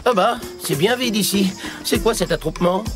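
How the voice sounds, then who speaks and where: A man's voice: sits high, moderate pace, loud music behind the voice, natural voice. An actor playing a wry, mischievous trickster townsman in a children's cartoon, sly and incredulous. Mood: exasperated. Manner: sly, incredulous, muttering asides. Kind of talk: French children's cartoon acting.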